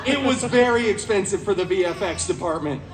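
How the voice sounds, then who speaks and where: A man playing a flamboyant, sarcastic antihero, telling a comic parody fairy tale to an audience with dramatic pauses. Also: sits high, animated, some chatter behind, pitched below the performer's own voice. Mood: sarcastic, theatrical. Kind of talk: comic live storytelling to an audience. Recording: roomy, distant live event with music behind.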